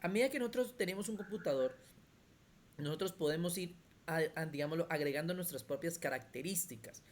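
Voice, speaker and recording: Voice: mid-range voice, fairly quick. Teacher checking in constantly. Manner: explanatory, checks in often with "listo?" and "ok?"; thinks aloud with restarts while demonstrating on screen. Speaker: computer skills teacher. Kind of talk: online computer class lecture.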